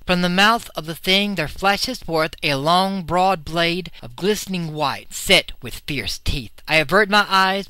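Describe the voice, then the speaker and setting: A man's voice: fairly high pitch, sharp tone, no echo. A man reading a horror story as dramatic scripted narration, with an intense, theatrical and suspenseful delivery. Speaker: audiobook reader.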